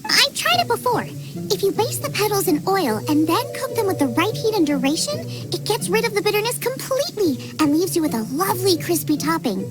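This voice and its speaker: A woman's voice: very high voice, very animated, fairly quick. A woman playing a cheerful, confident young female chef in a video game, with a perky, eager delivery. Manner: perky, eager, self-assured. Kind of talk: animated video game voice acting. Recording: game music behind the voice.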